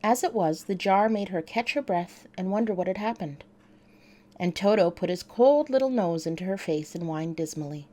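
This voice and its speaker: Female narrator for bedtime stories: soft mellow tone, highly varied intonation, medium pitch. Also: expressive, normal speed. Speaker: storyteller.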